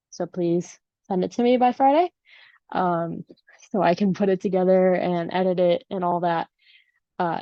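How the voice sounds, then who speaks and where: A woman's voice: on the low side, dark tone, dry recording, muffled recording. Chatty woman podcast host, Chicago accent. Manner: rambling and chatty, with many ums. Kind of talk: casual solo podcast chat. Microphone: home setup without usual equipment.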